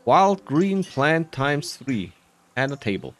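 Unhurried, rambling gamer with swooping pitch. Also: big pitch swings, faint music behind. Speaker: gaming video creator. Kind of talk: casual playthrough commentary, thinking aloud.

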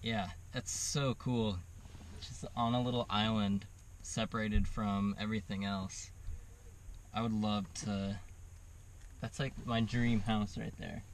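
A man's voice: on the low side, fairly even intonation, slow pace. A young man vlogging on a road trip in a casual, rambling way with lots of fillers, recorded on an action camera in his car and by the sea. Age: young adult. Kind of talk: casual travel vlog. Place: inside a car and outdoors by the sea. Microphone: action camera on dashboard or held at arm's length. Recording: loud car and wind noise.

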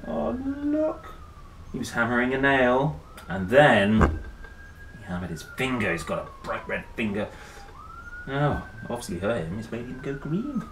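A man hosts a storytime reading in a playful, chatty way. His pitch moves widely and he takes his time, with dramatic pauses. The recording is roomy, like a small wooden room. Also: huge pitch swings. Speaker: storyteller and host. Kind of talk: picture-book reading with chatty asides. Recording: roomy echo, faint background noise.

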